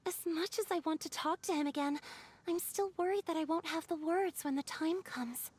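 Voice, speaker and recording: An actress playing a gentle, wistful water fairy in a video game, speaking softly and earnestly. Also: female voice, very high voice, normal speed, slight background noise. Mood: anxious, hopeful. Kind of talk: video game cutscene acting.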